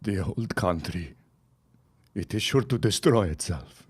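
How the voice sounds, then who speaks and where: An actor playing a hesitant, deferential immigrant accomplice in an audio drama, speaking in halting phrases. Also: male voice, deep voice, lively intonation, husky, exaggerated voice. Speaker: Otto Zabansky. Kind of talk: radio drama acting.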